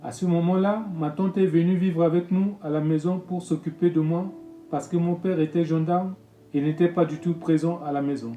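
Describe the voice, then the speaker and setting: Solemn pastor over soft guitar. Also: male voice, a little high, fairly even intonation, normal speed. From Congo-Brazzaville. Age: 50s. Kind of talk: read personal testimony. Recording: echoey room with music bed.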